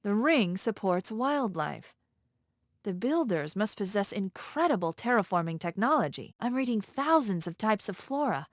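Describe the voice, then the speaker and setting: A woman voicing a quick-witted female military AI companion in a video game, crisp, analytical and commanding, calm but urgent. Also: mid-range voice, dry recording, clean studio sound, natural voice.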